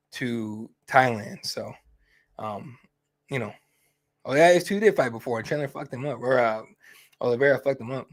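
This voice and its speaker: A young man hosts a fight-night livestream, rambling loosely between topics. He swears freely, trails off into ums and uhs, and keeps an easygoing, offhand tone at a middling pitch. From Mexican heritage. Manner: rambling, profane, easygoing, many fillers. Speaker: host of a fight companion stream. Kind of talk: casual livestream chat.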